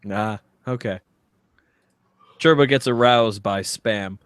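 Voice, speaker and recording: A man's voice: fairly low pitch, slow, smooth, dry recording. A man hosting a comedy podcast, bantering casually and teasingly and reading things out for laughs. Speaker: podcast host.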